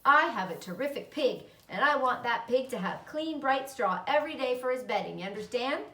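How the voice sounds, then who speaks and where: A woman's voice: a little high, expressive, a little fast, bright, forceful tone. A woman voicing a proud, bossy male farmer in a storybook, giving emphatic orders. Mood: commanding. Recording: roomy echo, distant mic.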